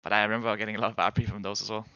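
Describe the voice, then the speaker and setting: Danish-native male streamer, lowish voice, dry close sound, hesitant and rambling. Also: flat delivery, very fast, sharp tone, clear, resonant tone, no room sound, lo-fi sound. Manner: rambling, hesitant, restarts sentences. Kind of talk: casual chat with viewers while gaming.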